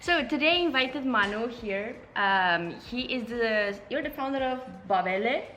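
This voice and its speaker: A woman's voice: a little high, expressive, normal speed, music in the background. A woman hosting a conversational video interview. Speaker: interview show host.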